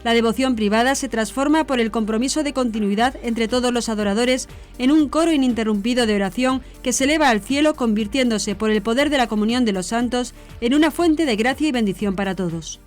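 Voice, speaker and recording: A woman presents scripted news in a formal, measured manner. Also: fairly high pitch. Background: background music.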